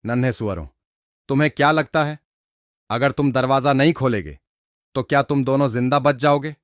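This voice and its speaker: A man's voice: medium pitch, normal speed, no room sound, natural voice. A man voicing a greedy, hungry cartoon wolf in a children's story, with a menacing, taunting manner. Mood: threatening. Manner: menacing, taunting, repetitive coaxing.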